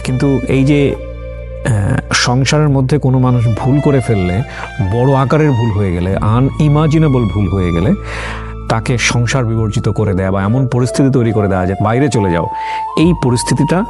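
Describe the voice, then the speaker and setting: A man's voice: medium pitch, moderate pace. A man hosting a life-story interview, reflecting at length on the guest's story and drawing moral lessons, mixing English words into his talk. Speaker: host. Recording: background music under speech.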